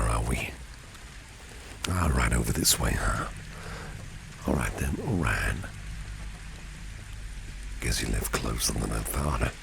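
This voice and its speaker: A man playing a protective, flirtatious boss in an ASMR roleplay, speaking softly and suavely in a gravelly, breathy near-whisper. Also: very deep voice, full character voice. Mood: tender, flirtatious. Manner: slow, suave, soft-spoken. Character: protective, flirtatious male boss, smooth and courtly. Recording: steady rain noise behind the voice. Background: rain and street ambience.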